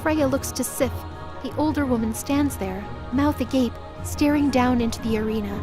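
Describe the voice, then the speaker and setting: A woman's voice: sits high, moderate pace, music in the background. A woman narrating a scripted audio drama with intense, vivid storytelling.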